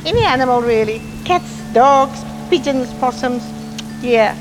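A woman's voice: high voice, lively intonation, measured pace, rough recording. A woman animal rescuer talking unscripted, as in an interview.

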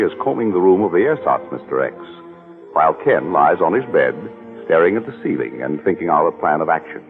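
A man announcing in 1940s radio style, reading a script with measured emphasis. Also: rumbling low, narrow, tinny audio.

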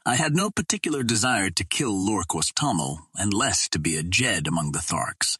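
A man reading an adventure novel aloud as scripted narration, in a measured storytelling manner. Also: on the low side, sing-song, sharp tone, slightly rough, no echo, studio quality. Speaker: audiobook narrator.

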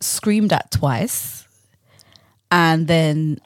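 A young woman with a London accent co-hosting a podcast, telling personal stories in casual, slangy, animated speech. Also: sits low, drawn-out words, dry recording, clean studio sound. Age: young adult. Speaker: podcast co-host. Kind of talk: casual chat and personal storytelling.

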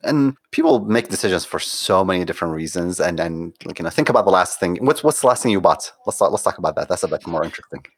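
A man's voice: low voice, fast, few pauses, breathy edge. A man, a guest on a podcast interview, talking casually and animatedly, often saying "like, you know" and acting out what others say. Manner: animated, storytelling with acted-out quotes, frequent "like, you know". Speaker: guest, conversion optimization consultant.

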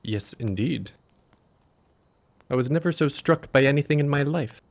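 Earnest, formal male army doctor played by a man, medium pitch, a loyal companion in a Victorian audio drama. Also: animated, moderate pace.

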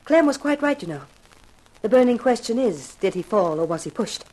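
An actress playing a frank, self-possessed young widow confiding intimately in a detective in a 1950s radio mystery. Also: female voice, fairly high pitch, very animated, moderate pace, darkish tone, rough recording. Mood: candid. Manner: candid, intimate.

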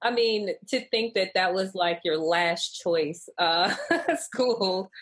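A woman hosting a podcast interview, giving brief reactions and asking measured questions. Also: on the low side, fairly bright tone, slightly rough. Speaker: podcast host.

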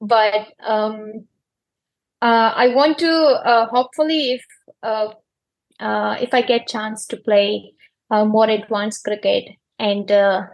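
A young Afghan-accented woman with a fairly high voice gives informal interview answers. English is not her first language, so she moves haltingly and often searches for the right word. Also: steady tone, poor audio. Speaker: interview guest, cricketer.

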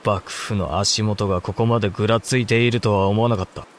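Measured samurai clan head, a man acting. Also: fairly low pitch, steady tone, a little hiss or hum, lightly acted. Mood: grave. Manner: formal, grave, composed. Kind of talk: Japanese historical audio drama.

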